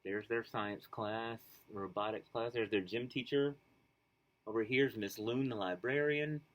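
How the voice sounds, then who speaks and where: A man reading a children's storybook aloud, expressive and rhythmic, with casual asides. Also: on the low side, a little slow. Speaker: storybook reader.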